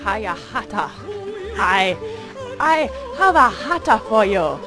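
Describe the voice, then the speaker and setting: A woman's voice: a little high, very animated, slow, music in the background. A woman playing a slightly eccentric hat seller in a comic audio play, with odd, broken phrasing. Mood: dotty, pushy.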